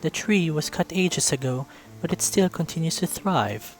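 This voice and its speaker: Man putting on a high-pitched stately furry king. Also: lively intonation, soft background music, natural voice. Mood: proud, musing. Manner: stately, measured, formal. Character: regal anthropomorphic king, courteous, wry, stately.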